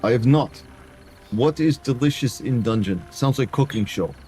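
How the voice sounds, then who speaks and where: Guy doing a put-on dictator voice while he builds in a game, lively pitch, soft music underneath. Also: moderate pace. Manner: talks to chat in a mock Eastern European dictator voice, dropping articles. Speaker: game streamer. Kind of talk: casual gameplay commentary.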